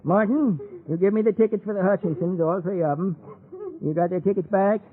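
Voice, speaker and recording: Radio play role: brisk village master of ceremonies played by a man, high-pitched, folksy, orderly, prodding. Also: close to an everyday voice. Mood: patient, prodding. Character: brisk, folksy official running a small-town ritual. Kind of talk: radio drama acting. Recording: thin phone-like old radio recording.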